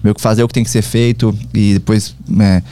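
Fast-talking reflective Brazilian man. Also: fairly low pitch, little pitch movement, mellow. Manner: reflective, halting, many fillers and restarts. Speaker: podcast guest, travel platform founder. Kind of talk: casual conversational interview.

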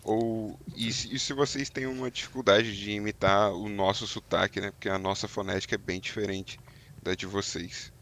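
Low-voiced man rambling in a voice message. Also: fairly even intonation, normal speed, a bit bright, a little hiss or hum. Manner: rambling, hesitant. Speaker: listener sending a voice message. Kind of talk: casual recorded question.

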